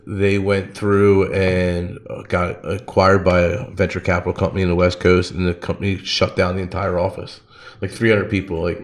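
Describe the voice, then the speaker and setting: Deep-voiced casual podcast host, a man. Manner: informal, slangy, frequent 'like'. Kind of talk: casual chat, personal storytelling.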